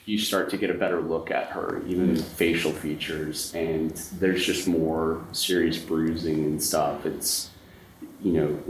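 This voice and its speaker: A man acting as game master, narrating a tabletop horror game to players. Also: a bass voice. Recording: echoey room, faint noise.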